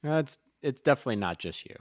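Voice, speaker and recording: Audio drama: male actor as loyal buddy, mid-pitched, casual and wry, close dry recording. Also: expressive, racing, dry recording, close to an everyday voice. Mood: casual concern. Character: loyal, easygoing male friend, gently teasing. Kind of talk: naturalistic audio drama dialogue.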